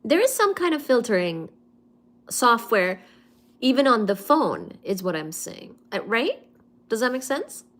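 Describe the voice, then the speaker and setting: A young woman from India with an Indian accent, hosting a casual livestream and answering viewer comments in an unhurried, hesitant way with frequent fillers. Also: on the high side, very animated, fairly bright tone. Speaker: livestream host. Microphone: phone or webcam on a live stream.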